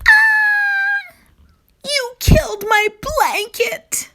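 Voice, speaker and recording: A woman's voice: big pitch swings, drawn-out words, exaggerated voice. Furious small girl played by a female reader, piercing, dramatic, wildly swooping, for a storybook. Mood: screaming fury. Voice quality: shrill, shrieking. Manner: loud, dramatic, indignant. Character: furious screaming little girl with a ruined blanket.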